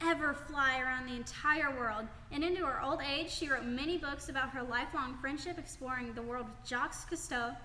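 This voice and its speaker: A woman's voice: sits high, a little fast, few pauses, sharp tone. A woman playing an earnest, studious young girl in a radio play. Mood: proud. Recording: echoey hall, faint noise.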